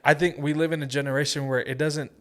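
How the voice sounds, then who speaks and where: A man's voice: on the high side, little pitch movement, quick pace, a bit bright. Earnest young man hosting a faith chat. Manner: earnest, encouraging, says "bro" and "y'all". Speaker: podcast host.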